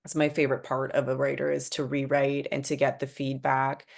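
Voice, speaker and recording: A woman with a very deep voice and plenty of vocal fry speaks as a writer. She is informal and keen, reflecting casually while her melody stays narrow. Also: monotone, a little fast.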